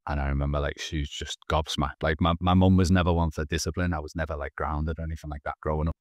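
A man's voice: rumbling low, little pitch movement, quick pace, dry recording, studio quality. A man in his thirties, a podcast guest telling his own story earnestly over a video call, often restarting his words. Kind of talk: candid personal storytelling. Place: small indoor room. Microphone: video call, wearing headphones.

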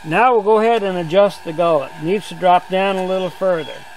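An older man giving a relaxed, step-by-step outdoor demonstration as a sawmill instructor, talking in a plain, easygoing way. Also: high-pitched, fairly quick, slight background noise, poor audio. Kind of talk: hands-on demonstration. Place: outdoors on a grassy lawn.

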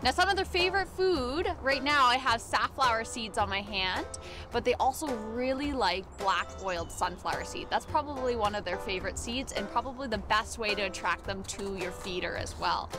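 Higher-voiced young woman, nature guide. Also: animated, moderate pace, music in the background. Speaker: community program coordinator, nature guide. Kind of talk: informal educational explanation to camera. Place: outdoors in snowy woods.

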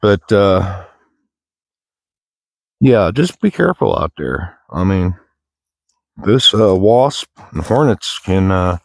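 Middle-aged American man, low voice, folksy and rambling outdoor vlogger, dry open-air sound. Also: big pitch swings, slow pace, vocal fry, pauses often, no echo. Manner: folksy, plain-spoken, rambling. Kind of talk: casual walk-and-talk chat. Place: outdoors in the woods, walking.

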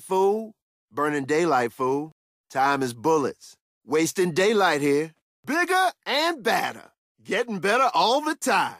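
Tough confident soldier, video game combat barks, swaggering, punchy, very animated intonation, dry sound. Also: male voice, high-pitched, no echo, exaggerated voice. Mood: matter-of-fact. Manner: cocky, punchy, swaggering delivery. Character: tough, confident male soldier, upbeat battlefield fighter.

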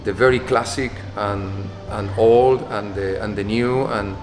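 A man's voice: fairly low pitch, steady tone, unhurried. A man, speaking thoughtfully in an interview, often repeating words. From Spain. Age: 50s. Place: dance studio, indoors. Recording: echoey hall with music behind.

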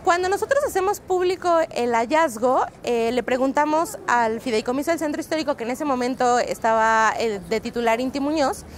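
High-voiced Mexican woman reporter outdoors. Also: a little fast, few pauses. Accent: Mexican Spanish. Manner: fluent and explanatory, with frequent "eh" fillers. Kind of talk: street interview. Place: outdoors on a busy city street. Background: faint street ambience.

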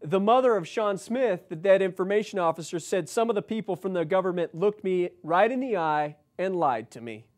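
High-pitched American man, political commentator. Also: lively intonation, a little fast, few pauses, darkish tone. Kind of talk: political commentary, reading news articles aloud with asides. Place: indoor studio set, seated at a desk.

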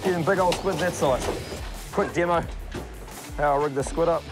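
A man's voice: a little high, normal speed. A man with a New Zealand accent hosting a fishing show, chatting casually and explaining his rig outdoors by the sea. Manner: excitable, laughing, explains rigs as he goes. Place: outdoors on a boat and on rocks by the sea. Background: music.

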